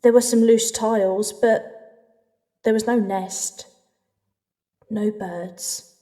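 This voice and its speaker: A woman with a British accent playing a lonely, introspective woman in an audio drama, speaking intimately with deliberate pauses. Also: medium pitch, fairly even intonation, warm tone, lightly acted. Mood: reflective, uneasy. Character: lonely, introspective woman telling her dark love story. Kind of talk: dramatic first-person audio drama narration and dialogue.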